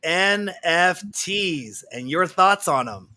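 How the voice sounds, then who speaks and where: A middle-aged man hosting a casual video-call interview, asking questions in a friendly way with quick asides. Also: sits high, expressive, sharp tone. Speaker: interview host.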